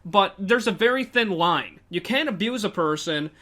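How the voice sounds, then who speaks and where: A man's voice: high voice, animated, normal speed, bright, forceful tone. A man co-hosting a casual discussion show, rambling with lots of fillers and some swearing. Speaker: co-host.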